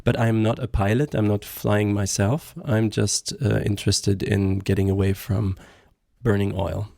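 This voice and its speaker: Low gravelly man. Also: flat delivery, unhurried, dark tone, dry recording, clean studio sound. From Germany. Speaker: guest, podcaster and graphic designer. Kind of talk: casual interview talk.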